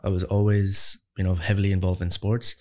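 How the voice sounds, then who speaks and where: A young man from Ireland with a lowish voice talks as an interview guest. He is conversational and emphatic, and he stacks up rhetorical questions with growing force. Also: level tone, brisk, darkish tone. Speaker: entrepreneur guest.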